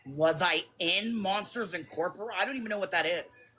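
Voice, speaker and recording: A woman with a raspy smoker's voice, talking defensively and bluntly on a phone livestream. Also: fairly low pitch, moderate pace, pushed and bright, slight background noise. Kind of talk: heated live chat.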